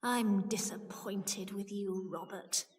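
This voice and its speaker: A woman's voice: mid-range voice, fairly even intonation, a little mellow, in a large hall, lightly acted. A woman voicing a dramatic, haughty aunt. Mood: scornful. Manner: slow, dramatic delivery. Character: vain, haughty aunt, later frightened and pleading.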